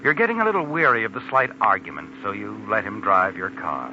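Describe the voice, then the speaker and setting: An actor playing an ominous, insinuating narrator of a 1940s radio suspense drama, speaking straight to the protagonist. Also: male voice, on the low side, animated, a little fast, muffled recording, noisy background, natural voice. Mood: knowing, ominous. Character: knowing, ominous male narrator addressing the protagonist. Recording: thin old radio recording with music.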